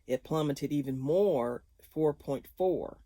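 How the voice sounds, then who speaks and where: A woman's voice: low-pitched, slow, mellow, dry recording. Chatty laughing woman in her fifties. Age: 50s. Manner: chatty and rambling, laughs often. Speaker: vlogger. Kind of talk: casual chat to viewers.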